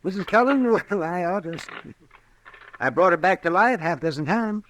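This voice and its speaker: An American man acting a crusty, excitable older town doctor in an old time radio western, with a cantankerous, emphatic manner. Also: high-pitched, moderate pace, a little hiss or hum. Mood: amused, bantering.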